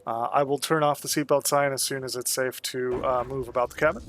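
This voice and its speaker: A man's voice: medium pitch, a little fast, loud music behind the voice. Man streaming with a lingering cold. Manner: relaxed, rambling, laughs often, lots of ums. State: getting over a cold. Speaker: streamer playing a space game. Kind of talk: casual live-stream commentary.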